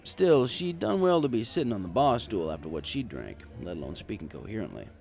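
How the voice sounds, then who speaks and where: A man's voice: on the low side, very animated, a little fast, gravelly. A man reading a scripted first-person story in the manner of an audiobook narrator. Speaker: story reader.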